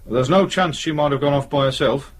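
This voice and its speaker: An actor playing a matter-of-fact British detective sergeant in a radio drama, questioning in a dry, measured way. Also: male voice, mid-range voice, slight background noise, lightly acted. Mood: businesslike probing. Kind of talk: naturalistic radio drama acting.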